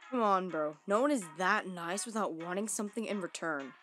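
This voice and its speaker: A woman's voice: mid-range voice, brisk, fairly bright tone, lightly acted. A woman voicing a distrustful, angry young male cartoon devil who protects his brother, curt and sulky in a comic dub. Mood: suspicious, scolding. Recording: music under the voice. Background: background music.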